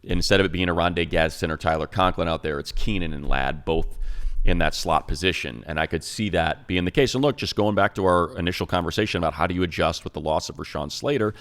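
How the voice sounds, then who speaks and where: Deep gravelly man talking football. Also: fast talker, fairly bright tone. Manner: rambling analysis with many restarts. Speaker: radio play-by-play announcer and co-host. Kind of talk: casual sports talk.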